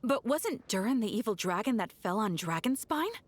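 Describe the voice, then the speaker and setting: Curious townswoman for a game: fairly high, very expressive, polite yet wary. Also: moderate pace. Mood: puzzled. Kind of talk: video game voice acting.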